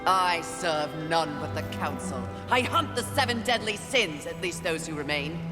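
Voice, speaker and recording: A woman's voice: lowish voice, lively intonation, normal speed, natural voice. A woman voicing a proud, wrathful female warrior of the apocalypse in a video game, speaking in a curt, imperious, disdainful way. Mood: cold, defiant. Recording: music behind.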